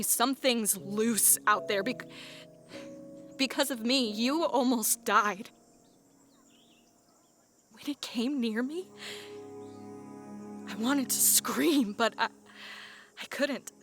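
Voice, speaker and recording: A woman's voice: a little high, slow pace, long pauses. A woman voicing a guarded young half-genie woman in an audio drama, with dry, sarcastic banter. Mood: troubled. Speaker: voice actor. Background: faint background music.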